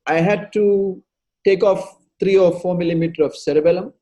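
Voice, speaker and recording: A man, a neurosurgeon, giving an animated webinar lecture over a video call, with lots of rhetorical questions. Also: high voice, moderate pace.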